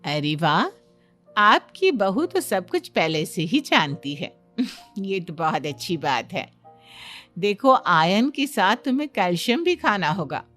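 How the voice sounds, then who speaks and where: A woman's voice: medium pitch, sing-song, slow pace, fairly bright tone, faint music behind. A woman voicing an approving, reassuring female doctor who chats and advises in a Hindi cartoon story. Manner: chatty, advisory.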